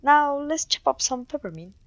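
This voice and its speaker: Woman acting as clumsy young witch, fairly high voice, gentle, apologetic, polite quaint wording, dry close recording, ASMR fantasy roleplay. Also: expressive, moderate pace, dry recording, lo-fi sound. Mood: gentle, instructive. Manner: gentle, polite, slightly old-fashioned phrasing.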